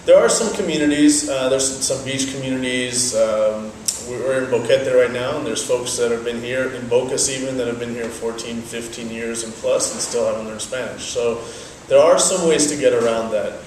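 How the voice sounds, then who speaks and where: A young man whose first language is Dutch, giving measured, explanatory answers in an interview. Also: mid-range voice, a little mellow, slight background noise. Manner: measured, explanatory, thoughtful pauses. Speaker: interviewee, business owner. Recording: very echoey, from a distance, faint ambience.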